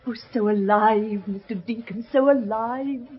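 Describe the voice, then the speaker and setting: An actress playing a haunted, fragile, fearful widowed daughter in a radio horror drama, eerie and dreamy in manner. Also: female voice, fairly high pitch, unhurried, breathy edge. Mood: wistful, haunted. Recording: dull old radio recording, faint noise.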